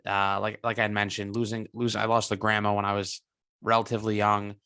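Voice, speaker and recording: Guest, 20s man, lowish voice, dry close sound, earnest and halting. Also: little pitch movement, sharp tone, clear, resonant tone, no echo. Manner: earnest, halting with many restarts and fillers. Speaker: guest entrepreneur. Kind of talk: interview.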